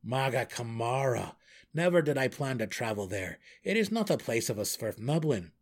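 A man voicing a gruff, stubborn, loyal male deep gnome warden in a fantasy audiobook, growling and exclaiming indignantly. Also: sing-song, moderate pace. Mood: grudging, determined. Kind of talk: fantasy audiobook character acting.

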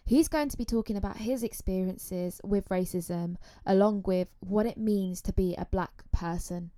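A woman's voice: mid-range voice, normal speed, dark tone, no echo. Earnest woman with a dry, close sound. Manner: earnest, rhetorical questions. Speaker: podcast host. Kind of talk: scripted podcast introduction.

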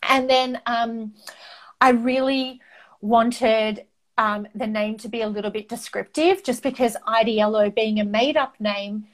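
A woman in her forties with an Australian accent, a native Greek speaker, chatting as an interview guest about her marketing work in a loud, passionate, animated way, full of "um" fillers. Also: fairly high pitch, measured pace. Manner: loud, fiery and passionate, talks with her hands. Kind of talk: casual interview chat.

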